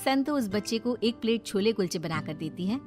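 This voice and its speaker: Lady storyteller, mid pitched voice, reading a scripted tale over light music. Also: expressive, brisk. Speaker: narrator. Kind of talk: scripted bedtime story narration in Hindi.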